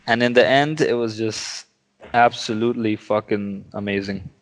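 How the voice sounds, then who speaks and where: A man's voice: on the low side, fairly even intonation, moderate pace, background noise. A man co-hosting a podcast, giving short, casual, sweary opinions on a film and repeating words for emphasis. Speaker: podcast co-host. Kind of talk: casual movie review chat. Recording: long hall-like reverb.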